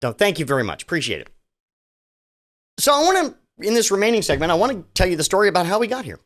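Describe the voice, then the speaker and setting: Animated, sarcastic middle-aged man podcasting. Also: sits high, fast talker. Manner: rambling, sarcastic, many restarts and fillers. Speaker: podcast host. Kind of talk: casual solo podcast monologue.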